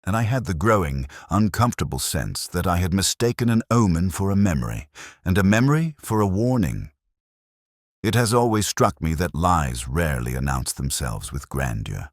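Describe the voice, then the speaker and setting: Brief: male narrator, very low voice with expressive swings, measured and brooding, for scripted detective fiction. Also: dry recording, clean studio sound. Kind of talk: scripted first-person detective story narration.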